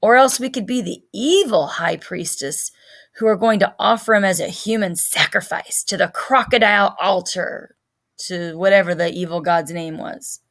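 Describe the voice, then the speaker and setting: Woman acting bossy young girl, storybook character, excitable, theatrical priestess chanting, imaginative. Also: mid-range voice, moderate pace, close to an everyday voice. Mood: eager, scheming.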